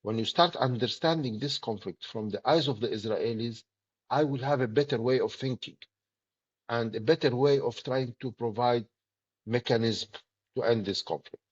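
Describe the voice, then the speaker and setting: A middle-aged man with a Palestinian Arabic accent, speaking earnestly and deliberately in short, emphatic phrases as an interview guest. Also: medium pitch, husky, highs cut off. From Palestinian territories. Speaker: political activist, interview guest.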